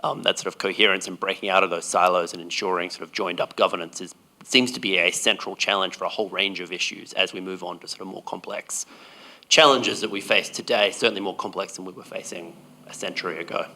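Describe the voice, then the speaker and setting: Low gravelly man answering at a panel. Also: steady tone, quick pace, bright, forceful tone. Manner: discursive, hedging with "sort of". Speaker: panel speaker.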